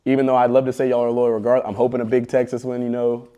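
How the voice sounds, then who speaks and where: Young male athlete, average pitch, lively emphatic storyteller in casual chat. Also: quick pace, smooth, dull audio. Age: young adult. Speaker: college football player.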